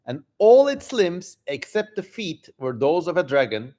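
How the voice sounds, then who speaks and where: A man's voice: fairly high pitch, sing-song, a little slow, warm tone, pitched above the performer's own voice. A man voicing an ancient king who recounts and questions demons, in a theatrical, declamatory dramatic reading. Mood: dramatic, astonished. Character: ancient king narrating, commanding and astonished by demons.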